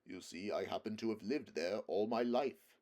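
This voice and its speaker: A man's voice: fairly low pitch, moderate pace, a little mellow, natural voice. Stubborn male marsh creature, read by a man, stout and short of air.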